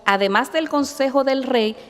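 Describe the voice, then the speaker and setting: A woman's voice: high voice, moderate pace. A middle-aged woman judge, speaking formally and measuredly with devout asides, a little nervous. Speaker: judge and candidate. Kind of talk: formal hearing testimony. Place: large hearing chamber. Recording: long reverb in a hall.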